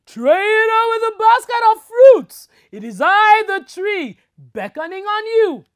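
A woman voicing a mischievous boy who shouts from inside a hollow tree, pretending to be the tree. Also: big pitch swings, slow pace, heavily acted. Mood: booming, teasing. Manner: loud booming call.

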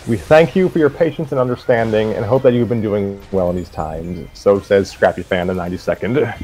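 A man co-hosting a show in a deadpan manner, mixing scripted announcements with casual chat. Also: lowish voice, a little fast, soft background music, low-quality recording. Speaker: co-host of a story-reading show.

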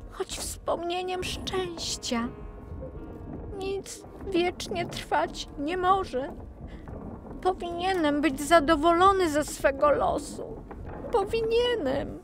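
A woman's voice: very high-pitched, slow, music in the background, voice pushed up, heavily acted. A woman voicing a naive, cheerful young flax plant in a children's storybook, wide-eyed and emphatic. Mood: resigned, sad.

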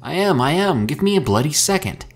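A man voicing a hot-tempered, panicky young man in a horror story, urgent and quick to shout. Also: lowish voice, breathy edge, heavily acted. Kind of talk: dramatic horror audiobook character acting.

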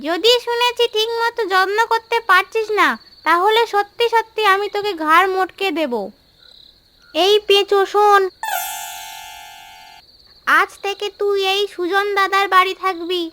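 A very high, bright and piercing cartoon ghost auntie. She is old and bossy, scolding sharply and throwing out threats. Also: steady tone, a little slow, pauses often, slight background noise, heavily acted.

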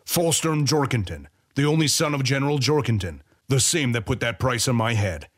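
A man voicing a cruel, smug avenger in a fantasy audiobook, deliberate and taunting. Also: medium pitch, animated, breathy edge, no room sound, studio quality. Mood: smug, gloating. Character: cruel, mocking male avenger with a knife, coolly vengeful.

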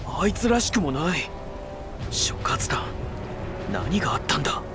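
A man acting an easygoing but earnest young army commander in a Japanese video game, with casual, rough-edged speech. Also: a little high, big pitch swings, a little slow. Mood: troubled. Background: background music.

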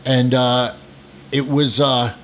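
A man's voice: medium pitch, flat delivery, takes it slowly, fairly bright tone, slight background noise. A middle-aged man from New England, guesting on a casual podcast, rambling through stories with self-deprecating jokes and many restarts. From New England, United States. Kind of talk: casual storytelling interview.

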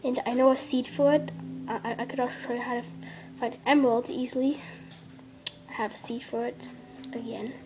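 A woman's voice: a little high, fairly even intonation, fairly quick, mellow, slight background noise, poor audio. A young girl casually narrating her mobile gameplay indoors, rambling with lots of fillers and dropping into half-whispers. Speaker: gameplay vlogger. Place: indoors at a table. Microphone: device camera filming a handheld screen.

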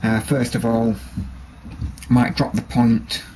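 A man casually showing a small knife in his workshop, relaxed and hesitant, with many ums and restarts, recorded on the camera's mic. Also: mid-range voice, takes it slowly, slight background noise, poor audio. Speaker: bushcraft hobbyist showing a knife. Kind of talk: casual show-and-tell. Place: workshop with a grinder and workbench.

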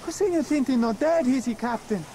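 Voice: sits high, expressive, fairly quick, warm tone. An actor playing a devoted, anxious young South American guide boy with deferential, simple phrasing in a radio drama. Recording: noisy sound effects behind.

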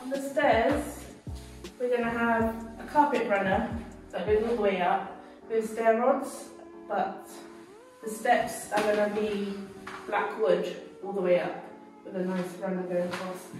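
A woman's voice: medium pitch, fairly even intonation, a little slow. A woman in her thirties giving a casual walk-and-talk house tour as a vlogger, recorded indoors in empty rooms on a camera several metres away. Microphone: camera held by another person, several metres away. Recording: echoey empty rooms, distant mic, background music. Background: music.

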